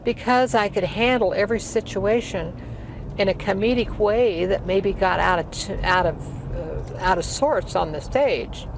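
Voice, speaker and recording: A woman's voice: mid-range voice, unhurried, background noise. A woman in her eighties, a singer, telling her life story in a chatty, rambling interview, with many restarts. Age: 80s. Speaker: guest, singer.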